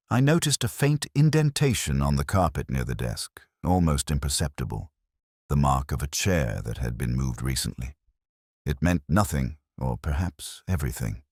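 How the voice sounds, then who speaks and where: Man, bottomless low voice, detective story narration, measured, literary, brooding, close dry sound. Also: breathy edge, dry recording. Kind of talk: first-person detective audio drama narration.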